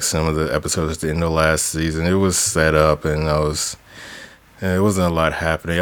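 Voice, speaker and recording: A man co-hosting a podcast from home, chatting casually about a TV episode in a laid-back, halting way with many fillers, sniffling with a cold. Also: rumbling low, fairly bright tone. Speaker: podcast co-host. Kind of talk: casual chat reviewing a TV episode. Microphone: home microphone on a call.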